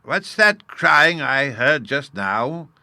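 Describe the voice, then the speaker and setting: A man's voice: mid-range voice, very animated, slow, gravelly, sharp tone, pitched above the performer's own voice, exaggerated voice. A man reading aloud, voicing a mild, puzzled old professor in a storybook.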